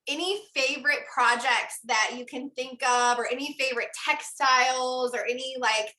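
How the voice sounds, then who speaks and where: A woman's voice: high-pitched, moderate pace, sharp tone. A woman hosting a podcast interview, chatting in a gushing, filler-heavy way. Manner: effusive, filler-heavy, lots of "like". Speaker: podcast host. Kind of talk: casual interview chat. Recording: echoey room sound.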